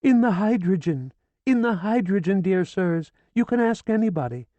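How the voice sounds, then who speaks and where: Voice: expressive, normal speed, warm tone, no echo, natural voice. A reader voicing a frantic, grieving older woman who pleads and nearly screams, in an audiobook. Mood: hysterical pleading. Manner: shrill, pleading, near screaming. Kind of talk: audiobook character voice.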